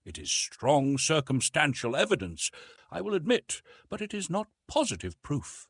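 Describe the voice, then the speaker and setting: A man's voice: fairly high pitch, huge pitch swings, moderate pace, a bit bright, breathy edge, no room sound, studio quality, pitched above the performer's own voice, full character voice. A man voicing a determined, proud young American woman from the South who argues in a formal, insistent way, as in an audiobook adventure story. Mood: firm, reasoning.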